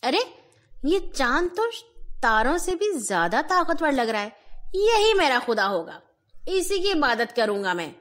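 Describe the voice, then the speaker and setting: A woman storyteller plays a pondering young seeker in a children's tale. The voice is high and lingers slowly over the words. It is earnest and emphatic, filled with wonder. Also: sing-song, fairly bright tone, exaggerated voice. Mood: surprised.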